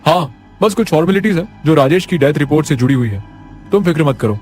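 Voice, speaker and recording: A man's voice: a little high, lively intonation, quick pace, darkish tone, faint music behind, pitched above the performer's own voice, close to an everyday voice. Man acting a firm, raised-voice doctor husband. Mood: uneasy, reassuring. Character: stern, dismissive doctor husband in horror cartoon.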